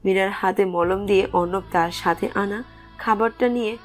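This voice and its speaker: A woman's voice: medium pitch, normal speed. A woman reading scripted narration for a romantic story as its narrator. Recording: music under the voice.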